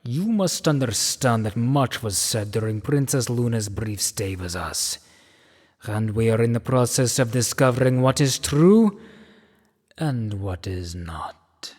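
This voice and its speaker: An imperious, deliberate king, played by a man in a fan-made radio play. His husky voice moves dramatically up and down with regal suspicion, ringing in a long hall reverb. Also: medium pitch, animated, darkish tone. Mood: cool, commanding.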